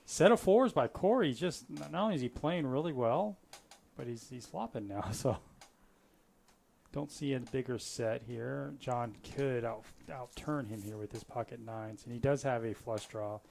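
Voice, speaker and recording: A man's voice: medium pitch, normal speed, creaky, a little hiss or hum. Guy commentating a live poker game, easygoing and chatty, lots of uh and you know. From Florida. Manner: relaxed and chatty, with frequent fillers and restarts.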